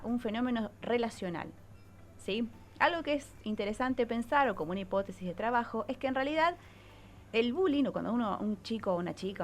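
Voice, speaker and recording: A woman's voice: fairly high pitch, a little hiss or hum. A woman with an Argentine accent, a psychologist columnist explaining things on radio and often checking in with short tag questions.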